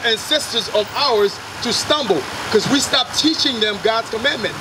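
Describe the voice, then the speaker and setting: A man from Haiti with a fairly high voice preaches on the street, firing questions at his listener and hailing people walking past. Loud traffic and engine noise roar behind him. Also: moderate pace. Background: loud traffic and car engines.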